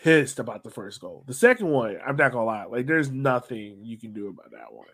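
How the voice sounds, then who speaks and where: Man, medium pitch, podcast host, casual conversational sports talk. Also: big pitch swings, brisk, a bit bright, husky.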